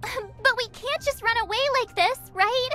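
A woman voicing an excitable, perky teenage girl in an anime-style video game, bubbly and exclamatory. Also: very high voice, unhurried, faint music behind, exaggerated voice. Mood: surprised, worried.

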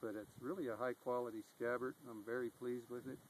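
A man's voice: mid-range voice, a little fast. A man in his forties casually reviewing outdoor gear, rambling with plenty of ums and uhs, recorded outdoors by a camera a few feet away. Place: outdoors on rocks in open dry country. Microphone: camera on a tripod a few feet away. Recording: loud wind and outdoor noise. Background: steady outdoor noise.

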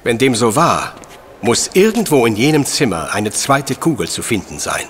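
An actor in a German audio drama playing a brilliant, self-assured professor detective with a precise, didactic, superior manner. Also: male voice, medium pitch, very animated, normal speed, fairly bright tone, slight background noise. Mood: explaining.

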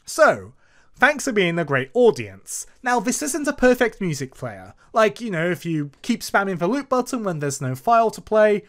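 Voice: mid-range voice, very animated, moderate pace, fairly bright tone. A tutorial presenter narrating a coding screencast in a casual, explanatory manner. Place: voice-over for a screen recording.

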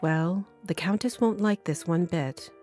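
A woman as a low, mellow vampire gentlewoman. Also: lightly acted. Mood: calm, confiding. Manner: measured, confiding, matter-of-fact. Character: composed, protective vampire gentlewoman, worldly mentor. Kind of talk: video game voice acting. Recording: music behind the voice.

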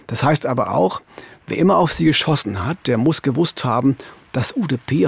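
Husky middle aged guy, true crime reporter narrating, dry studio mic. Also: medium pitch, no room sound. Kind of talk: scripted crime narration in dialogue. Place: small dark studio. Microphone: studio boom microphone, headphones.

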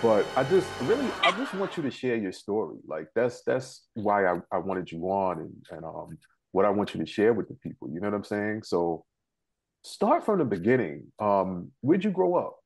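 American guy in his 30s hosting an interview show, kinda raspy voice. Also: fairly low pitch, big pitch swings, normal speed, soft background music, rough recording. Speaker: talk show host. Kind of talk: show hosting, scripted intro and casual interview. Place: living room couch at home, indoors.

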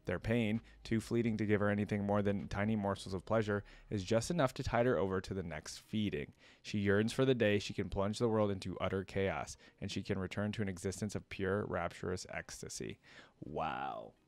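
Low-voiced young man, dry close sound. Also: fairly quick, few pauses, a little mellow, dry recording. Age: young adult. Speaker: reaction video host. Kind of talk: reading a story aloud with casual commentary. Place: indoor room at a computer desk.

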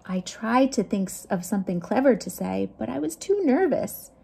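A woman's voice: a little high, lively intonation, normal speed, creaky, darkish tone, breathy edge. A middle-aged woman hosting a children's storytime, reading picture books expressively and chatting to young listeners with questions and asides. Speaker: youth librarian hosting storytime. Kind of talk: children's picture book read-aloud.

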